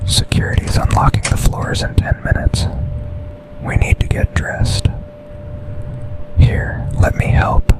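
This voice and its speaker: A man playing an intense, possessive yet tender office boss in a whispered, intimate ASMR boyfriend roleplay, speaking breathily in short, deliberate phrases. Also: over loud music, lightly acted, rough recording. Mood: hushed, fond.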